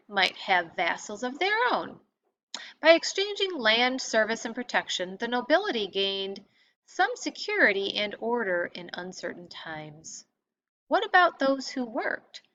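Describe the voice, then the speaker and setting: A woman teacher reading a textbook lesson aloud in an expository way, pausing to stress key terms. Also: mid-range voice, fairly bright tone. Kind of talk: read-aloud lesson narration. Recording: dull lo-fi audio with clear background noise.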